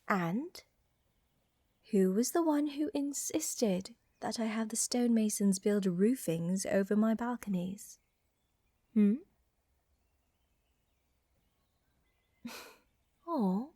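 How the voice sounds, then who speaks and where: A woman plays an aristocratic vampire countess for an intimate ASMR roleplay. She speaks very slowly in a fairly high yet mellow voice, softly breathy and often near a whisper. Her manner is elegant, doting and teasing, like a protective lover soothing her beloved. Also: dry recording. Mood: teasing, affectionate. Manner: teasing, soothing, elegant formal phrasing with sighs.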